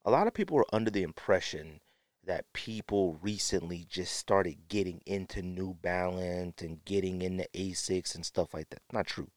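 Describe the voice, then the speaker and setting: A man's voice: sits low, moderate pace, no room sound, clean studio sound. A man hosting a podcast with opinionated commentary, sniffly from a runny nose and repeating phrases for emphasis. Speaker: podcast host. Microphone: podcast microphone, close.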